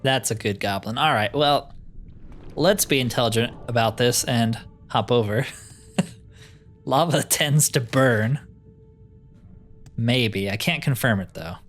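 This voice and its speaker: A man's voice: mid-range voice, slow, pauses often, fairly bright tone. A man giving casual gameplay commentary, thinking aloud with dry, joking asides. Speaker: gamer narrating a roleplay playthrough. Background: game music.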